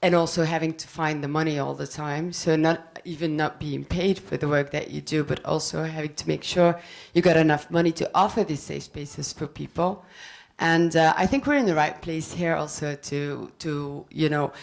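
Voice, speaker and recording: A woman in the audience asking the panel an earnest question, searching for words, on a handheld mic in a cafe. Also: low-pitched. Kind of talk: question from the floor at a live panel. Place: cafe of an arts venue. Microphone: handheld microphone.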